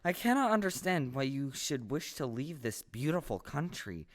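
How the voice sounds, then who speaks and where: Woman acting eager, low-voiced, swooping scarecrow. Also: very animated, moderate pace. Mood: friendly, earnest. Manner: exclamatory, earnest, formally polite. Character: friendly naive straw-stuffed male scarecrow, eager and polite. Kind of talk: stage radio play acting. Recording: live stage audio play with music.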